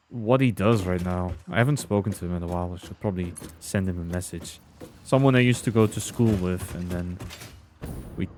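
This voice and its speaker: A young man with a fairly low voice talks through a game stream at an easy pace. He chats loosely with his viewers as he plays. A faint hiss sits under his desk mic. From Belgium. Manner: relaxed, chatty, reading and answering chat. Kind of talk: casual gameplay commentary with chat.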